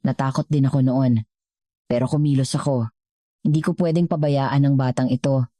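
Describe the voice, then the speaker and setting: A woman voicing an anxious, caring elderly household cook in a story, gentle and hesitant, with a Filipino accent. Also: very deep voice, steady tone, normal speed, no echo, clean studio sound. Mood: anxious resolve.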